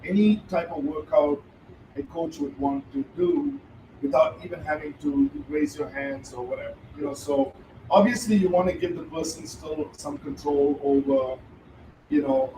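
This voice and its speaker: A man's voice: high-pitched, sing-song, moderate pace, creaky, mellow. A middle-aged man, the founder, speaking hesitantly with many fillers to a live audience, holding a handheld mic. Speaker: founder of the treadmill venue. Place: event room with audience. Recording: roomy hall, voice from a distance, faint noise.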